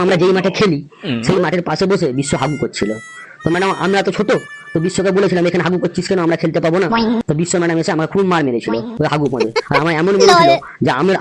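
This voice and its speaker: A man voicing a cheeky schoolboy prankster in a comic cartoon, rambling through silly answers and calling the teacher madam. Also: fairly high pitch.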